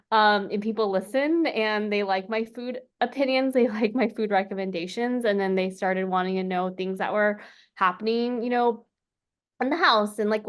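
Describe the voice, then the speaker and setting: Woman, American, average pitch, casual phrasing, interview guest, informal conversational style. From South Texas, United States. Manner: informal, frequent "like". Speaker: interview guest, content creator.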